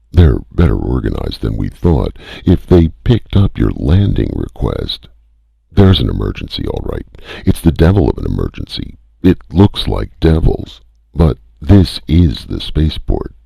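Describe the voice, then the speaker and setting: A man's voice: rumbling low, very animated, takes it slowly, gravelly, breathy edge, dry recording, muffled recording, pitched above the performer's own voice, exaggerated voice. A man voicing a male spaceport controller who speaks curtly and strictly professionally.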